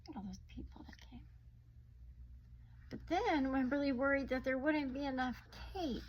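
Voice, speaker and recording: Teacher lady reading a kids book out loud, highish voice, stretches words, quiet little asides, bit of background noise. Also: moderate pace, dull audio. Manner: expressive reading, often drawing words out, with hushed asides. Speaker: teacher reading a storybook to families. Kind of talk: children's read-aloud with chatty asides.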